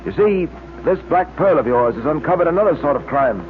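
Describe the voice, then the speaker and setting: An actor playing a resolute, earnest police detective hero in a 1940s radio serial. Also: male voice, a little high, expressive, a little fast, phone-quality sound, natural voice. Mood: determined. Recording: noisy, band-limited old radio recording.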